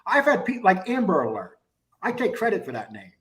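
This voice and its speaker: A man's voice: high voice, huge pitch swings, brisk, a bit bright. A man from New York in casual crosstalk chat, brash and profane, often laughing at himself. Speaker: show guest.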